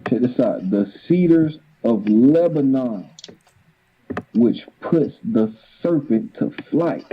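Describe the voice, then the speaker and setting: A man's voice: medium pitch, animated, takes it slowly, slightly rough. A man teaching scripture informally, moving between reading verses aloud and casual explanation. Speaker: Bible teacher. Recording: thin phone-like audio with noise.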